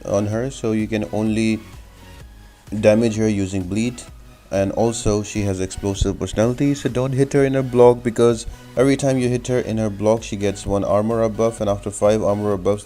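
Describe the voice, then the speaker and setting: A man's voice: lowish voice, steady tone, moderate pace, dark tone, soft background music. A man giving an instructional, step-by-step gameplay tutorial commentary as the host of a game guide.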